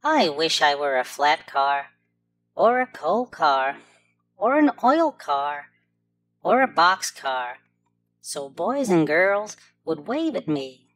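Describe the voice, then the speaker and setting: A woman's voice: low voice, sing-song, slow pace, heavily acted. A woman voicing a plaintive little red caboose, a wistful storybook underdog. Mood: wistful, self-pitying.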